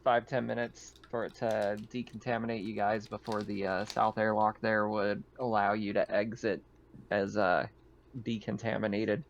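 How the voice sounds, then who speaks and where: A man's voice: fairly low pitch, level tone, moderate pace. A man acting as game master, explaining things in an informal, matter-of-fact way. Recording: dull lo-fi mic, keyboard noise.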